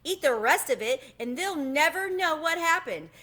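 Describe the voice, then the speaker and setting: A streetwise, scheming little mouse from a storybook, as a woman reader puts him on. He is excitable and melodramatic, wheedling and exclaiming in a high voice that leaps up and down. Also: normal speed. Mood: eager scheming.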